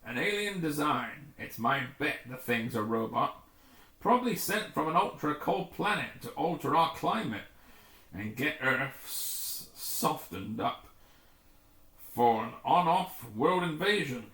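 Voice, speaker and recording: A man's voice: fairly high pitch, very animated, unhurried, fairly bright tone, reverberant, exaggerated voice. A man voicing a daring, eager rescue pilot with punchy delivery in a sci-fi adventure story. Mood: excited. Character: daring, gung-ho young male rescue craft pilot.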